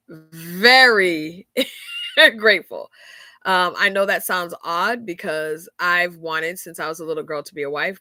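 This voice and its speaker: A woman's voice: fairly low pitch, sharp tone, no echo. A woman, hosting a faith-centered podcast and talking candidly, with lots of fillers. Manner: candid, chatty, frequent fillers and laughs. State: stuffy nose. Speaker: podcast host. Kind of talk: casual faith-centered chat.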